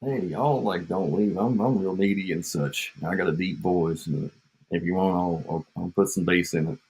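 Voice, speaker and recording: A man's voice: sits low, moderate pace, darkish tone, breathy edge. A man co-hosting a podcast, rambling and joking in casual chat, drifting off mid-thought, with an echo on his home mic. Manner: joking, meandering, trails off. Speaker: podcast co-host.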